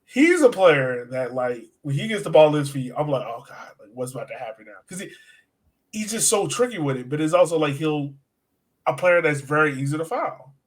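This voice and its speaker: A man's voice: fairly high pitch, lively intonation, fairly quick. A man co-hosting a soccer podcast, chatting informally and slangily as he breaks down the game. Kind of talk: casual sports chat and game analysis.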